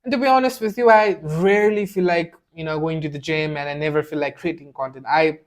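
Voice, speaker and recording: A man's voice: on the high side, a little fast. A young man whose first language is Nepali, giving a blunt, earnest motivational talk to the camera and repeating phrases for emphasis. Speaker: self-improvement video creator. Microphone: camera mic, speaking to camera.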